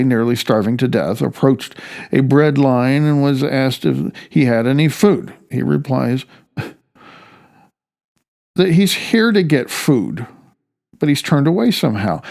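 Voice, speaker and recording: A man's voice: medium pitch, gravelly, darkish tone. A man reading his own nonfiction audiobook in a wry, conversational way. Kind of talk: audiobook narration of personal essay. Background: music.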